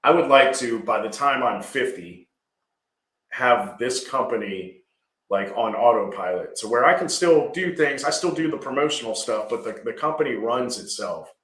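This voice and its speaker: A man's voice: medium pitch, lively intonation, moderate pace, fairly bright tone, reverberant, rough recording. A man, a business owner guest talking casually and earnestly, often checking in with "right?". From Georgia. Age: 40s. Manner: earnest, rambling, frequent "right?". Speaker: landscaping company owner, guest. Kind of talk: casual podcast conversation.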